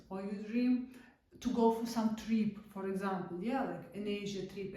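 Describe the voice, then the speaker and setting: Woman, Russian accent, instructor, soft mellow tone, heard from a distance in a very echoey room. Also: a little high. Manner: non-native English with broken grammar, halting restarts. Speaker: art-therapy instructor. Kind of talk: casual online lesson.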